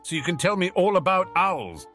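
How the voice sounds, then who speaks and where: A studious, oblivious older schoolboy , as a male narrator plays him. He is keen and fussy, with animated pitch, in a storybook telling with music behind. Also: unhurried, full character voice. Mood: eager.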